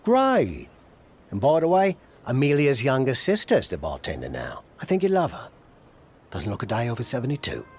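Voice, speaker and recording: A British veteran wrestler mentoring in a game documentary. He has a mid-pitched, animated voice, wry and colloquial, coaxing with dry humour. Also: normal speed, slightly rough, exaggerated voice. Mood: teasing, brisk. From United Kingdom. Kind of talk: video game documentary cutscene acting.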